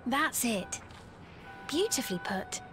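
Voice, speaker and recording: An actress playing a gracious, composed queen in a video game, speaking in a measured, formal manner. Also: fairly high pitch, very animated. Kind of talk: scripted video game cutscene acting. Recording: orchestral game music behind.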